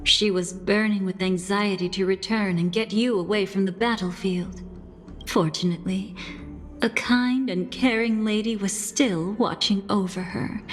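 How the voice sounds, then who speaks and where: A woman's voice: mid-range voice, close to an everyday voice. Woman as a poised storyteller in long reverb. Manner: measured, storytelling. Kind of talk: video game story narration. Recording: long reverb, music behind the voice. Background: game music.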